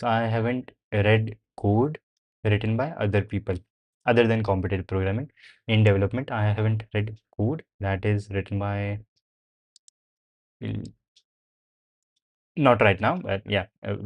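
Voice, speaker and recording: A young man with a native Hindi background talks on a live stream in a slightly low voice. He thinks out loud and answers viewer questions in a loose, casual way, dropping the occasional swear.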